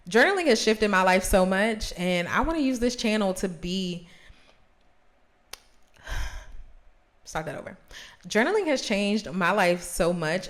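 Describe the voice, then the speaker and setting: A thirty-year-old woman hosts in a casual, conversational style, speaking straight to her viewers. Her voice sits at an average pitch for a woman. She is encouraging and puts emphasis on her points. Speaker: host of a journaling video series. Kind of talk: casual talk to camera.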